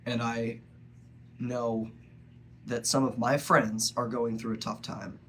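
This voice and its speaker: A young man speaks slowly and earnestly, stopping often to find his words. His nose is blocked from a cold, and his pitch stays flat and steady. A faint hiss sits under the recording. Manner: earnest, halting, with frequent pauses. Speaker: vlogger. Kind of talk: personal reflective monologue.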